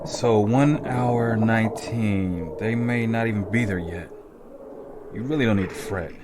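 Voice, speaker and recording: A man playing an unruffled, reassuring colleague in a science fiction audio drama, calm and matter-of-fact. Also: lowish voice, unhurried, heavy background noise, lightly acted. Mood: calm, patient.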